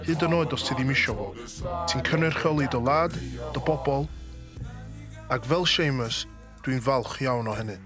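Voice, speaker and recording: A young man narrates in a measured, reflective way at an ordinary middle pitch. Music plays clearly under his voice. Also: fairly bright tone. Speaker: presenter narrating. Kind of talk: scripted narration.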